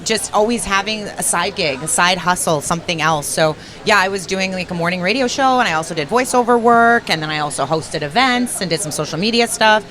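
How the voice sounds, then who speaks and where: A Canadian woman radio host with a low voice and a light Canadian accent. She talks in a chatty, upbeat way, answering interview questions casually. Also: a little fast, few pauses, a bit bright. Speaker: radio host and program director.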